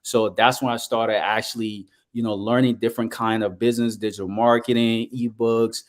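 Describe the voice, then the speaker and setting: A man's voice: medium pitch, little pitch movement. A man from Liberia in his thirties, a guest business coach, speaking earnestly and steadily with many fillers over a video call. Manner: earnest, measured, with frequent fillers. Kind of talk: casual interview talk.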